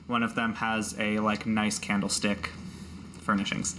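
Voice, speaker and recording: A man's voice: lowish voice, little pitch movement, a little slow, background noise. A man working as game master, improvising narration for a tabletop game in a casual, conversational way.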